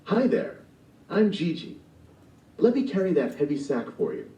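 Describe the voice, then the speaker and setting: A man doing a high, eager young hero. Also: wildly swooping pitch, normal speed, dark tone, muffled recording. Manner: cheerful, wide-eyed. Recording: roomy, from far off the mic.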